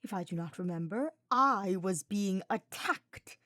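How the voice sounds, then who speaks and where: Woman doing a snarling, prickly male dark mage. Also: medium pitch, expressive, a little slow, dry recording, studio quality. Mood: menacing. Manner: formal, curt, snarling.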